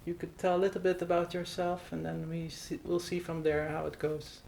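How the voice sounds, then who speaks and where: A woman's voice: lowish voice, flat delivery, brisk, vocal fry, warm tone, a little hiss or hum. A Dutch woman with a Dutch accent, speaking English as a second language while hosting an interview, hesitant with many fillers. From Netherlands. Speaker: host. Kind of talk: conversational interview. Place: Netherlands.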